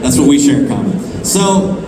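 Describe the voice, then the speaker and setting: A man's voice: medium pitch, sing-song, normal speed. An American man with a Texan accent, moderating a live convention panel in a loose, conversational way. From United States. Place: large convention hall. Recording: echoey hall, mic far off, noisy.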